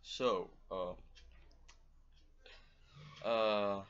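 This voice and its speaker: A young man with a Filipino accent, talking casually to camera in a small room, halting and rambling with lots of fillers. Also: lowish voice, steady tone, a bit bright, smooth, highs cut off. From Philippines. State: feeling unwell, voice off. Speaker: vlogger.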